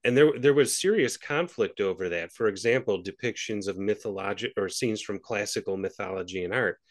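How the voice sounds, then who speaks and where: A man giving a measured explanation, clearing his throat now and then and restarting phrases. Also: fairly low pitch. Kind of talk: thoughtful explanation.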